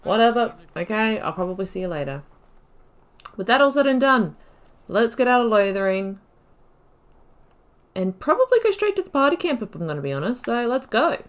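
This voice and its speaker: A woman's voice: fairly high pitch, sing-song, normal speed, long pauses. A woman hosting a playthrough, thinking aloud in casual, chatty and reflective gameplay commentary. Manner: chatty, reflective, often laughing at own mistakes.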